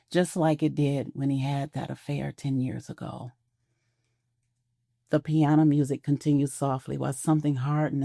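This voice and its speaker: A woman narrating an audio drama in a measured, intimate storytelling manner. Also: rumbling low, creaky, warm tone, no room sound, studio quality.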